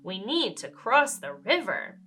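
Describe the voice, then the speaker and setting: A woman voicing a determined but concerned young girl in a children's storybook, earnest and expressive. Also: on the high side, a little slow, slightly rough, soft background music, exaggerated voice. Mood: apologetic, concerned.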